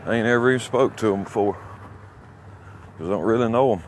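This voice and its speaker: A man's voice: lowish voice, quick pace. Folksy Alabama man rambling outdoors, noisy background. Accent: Alabama Southern American. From Alabama, United States. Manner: folksy, boastful, coarse. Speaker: amateur vlogger. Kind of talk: rambling walk-along commentary. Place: outdoors, walking along small-town streets. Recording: noisy outdoor recording with traffic sounds. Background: outdoor ambience, passing vehicles.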